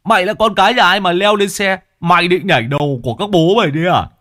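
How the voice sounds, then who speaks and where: A man voicing a short-tempered, rough middle-aged laborer who yells and swears. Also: high voice, fast, voice pushed up. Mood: angry. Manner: yelling, swearing.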